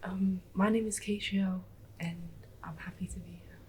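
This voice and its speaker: A young woman student speaking quietly and hesitantly in a soft, breathy voice. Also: medium pitch, steady tone, moderate pace.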